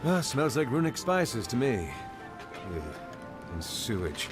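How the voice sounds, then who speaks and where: An actor playing a wry, confident gentleman adventurer with a dry, teasing, nonchalant manner in a fantasy video game. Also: medium pitch, very animated, a little slow. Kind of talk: dramatic video game voice acting. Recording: music behind the voice.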